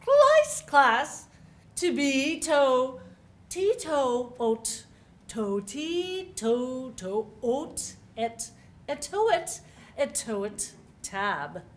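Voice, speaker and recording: High, extremely slow woman chanting sound poetry. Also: very animated, slight background noise. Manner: chanting fragmented syllables. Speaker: choir performer. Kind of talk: experimental sound-poetry performance.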